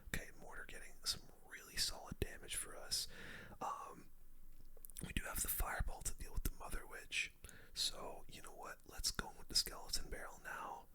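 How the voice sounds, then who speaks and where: A young adult streamer whispering casual, slangy gaming commentary in an ASMR style, close to the microphone. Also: pushed and bright. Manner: casual gamer slang, addresses viewers as dude and guys. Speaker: video game streamer.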